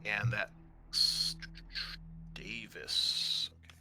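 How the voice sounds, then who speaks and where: Bright-voiced man as casual game master. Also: on the low side, fairly even intonation, extremely slow. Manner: casual, swears freely. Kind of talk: running a tabletop role-playing game.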